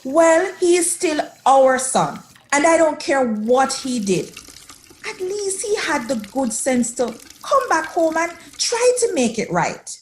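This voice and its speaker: A woman's voice: sits high, big pitch swings, slow pace, slight background noise, full character voice, poor audio. A woman playing a firm, protective mother who scolds her grown daughter in a radio drama. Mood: stern. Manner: stern and scolding.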